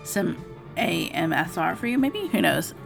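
A raspy-voiced woman in her forties talking casually in a rambling personal vlog at home, with many fillers. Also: fairly low pitch, very animated, slow, faint music behind. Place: home with TV music in another room.

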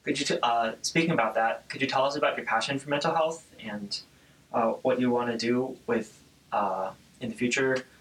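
A man's voice: medium pitch, moderate pace, fairly bright tone, low-quality recording. A man hosting a podcast interview, introducing and putting questions to a guest. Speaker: podcast host.

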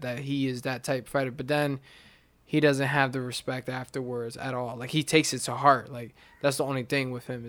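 A man co-hosting a casual podcast chat about fights, swearing freely and rambling with quick restarts. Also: mid-range voice, dry recording. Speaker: podcast co-host.

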